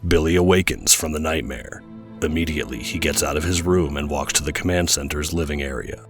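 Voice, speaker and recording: A man's voice: extremely low pitch, a little fast, soft background music. A man playing the solemn narrator of a superhero audio drama, reading scripted scene descriptions dramatically. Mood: solemn, dramatic.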